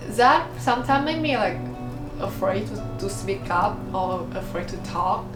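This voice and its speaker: A woman from Vietnam with as an answers in careful, hesitant English full of "like" and "um". Her pitch is ordinary for a woman. The sound is roomy, with music playing underneath. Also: animated, a little slow. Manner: hesitant, many "like" and "um" fillers. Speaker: international student interviewee. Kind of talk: interview answers.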